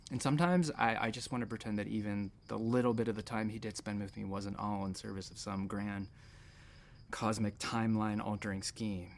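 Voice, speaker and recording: An actor playing a troubled space captain in therapy, rambling, self-deprecating and sardonic in a naturalistic audio drama style. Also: male voice, on the low side, steady tone, brisk, vocal fry. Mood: wistful, hurt.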